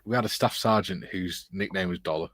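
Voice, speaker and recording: A man's voice: sits low, brisk, crowd noise. A man on a live stream panel, joining the banter with jokes. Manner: joking banter. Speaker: panel guest. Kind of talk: casual live-stream chat.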